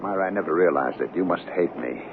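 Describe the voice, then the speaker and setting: An actor playing an anxious, guilt-ridden, hesitant husband in radio drama, nervous, stammering and pleading. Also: male voice, sits low, fast talker, close to an everyday voice. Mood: wounded, guilty. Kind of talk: 1970s radio drama acting. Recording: tinny, noisy old radio broadcast.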